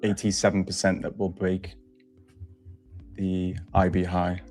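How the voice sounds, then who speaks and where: Stream host, male, low voice, relaxed explainer. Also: unhurried, soft background music. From Wales. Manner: explains stats plainly, relaxed banter. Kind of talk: casual live-stream chat.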